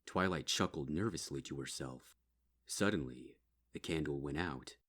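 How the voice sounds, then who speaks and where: A man reading a dark horror story as a dramatic scripted narration. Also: rumbling low, normal speed. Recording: music behind.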